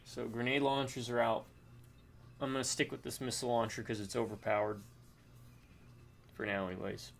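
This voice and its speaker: A man's voice: medium pitch, little pitch movement, normal speed, creaky, pauses often. Chatty man joking over co-op gameplay. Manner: chatty, joking, thinks aloud with fillers. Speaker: co-op game player. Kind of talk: casual gameplay chat.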